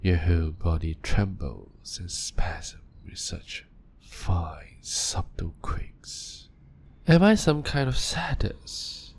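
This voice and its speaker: A man playing a deep, gravelly ancient vampire doctor. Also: sing-song, exaggerated voice. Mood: calm, clinical. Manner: soft-spoken and measured, often whispering. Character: ancient male vampire doctor, calm, clinical, gently controlling. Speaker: voice actor in audio roleplay. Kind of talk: audio roleplay.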